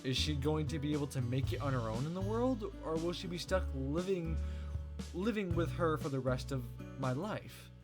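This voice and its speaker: Male playthrough host, animated higher voice, casual expressive read-aloud, music clearly behind. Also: normal speed. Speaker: let's play host. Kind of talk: casual visual novel playthrough, reading aloud. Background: game music.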